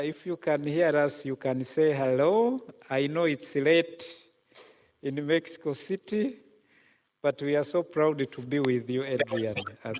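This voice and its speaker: Slow, halting man in a reverberant hall. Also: medium pitch. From Uganda. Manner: slow and halting, many filler pauses. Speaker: panel moderator. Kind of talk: conference panel introduction. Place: large conference hall.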